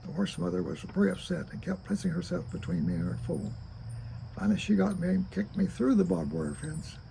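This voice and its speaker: An elderly man with a heavily creaky, very dark, soft-edged voice. He reads his own memoir haltingly and deliberately, over a faint insect-like hiss. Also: high-pitched, big pitch swings, low-quality recording. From rural Kentucky, United States. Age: 80s. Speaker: storyteller reading own memoir. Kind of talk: reading a childhood memoir aloud.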